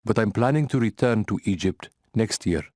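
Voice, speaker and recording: Low-voiced man reading a textbook line. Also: normal speed, darkish tone, no echo, studio quality. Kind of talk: scripted textbook dialogue.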